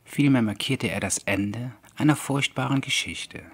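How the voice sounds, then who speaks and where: A man narrating a scripted horror audiobook in a measured, deliberate storytelling manner. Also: medium pitch. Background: soft background music.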